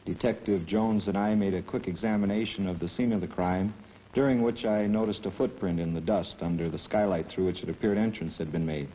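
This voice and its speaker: Man acting precise police witness, low pitch, sober, faint hiss, 1960s film. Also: fairly quick, dull audio, close to an everyday voice. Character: sober, precise male police officer testifying. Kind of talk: scripted testimony in 1960s training film.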